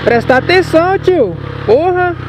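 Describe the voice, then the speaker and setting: A man's voice: very high voice, lively intonation, unhurried. A young man with a Minas Gerais Brazilian accent riding a motorcycle through city streets, talking casually to his viewers in slang and swearing at careless drivers. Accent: Brazilian Portuguese, Minas Gerais. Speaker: motovlogger. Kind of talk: casual running commentary while riding. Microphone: helmet or action camera mic. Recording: loud road and engine noise.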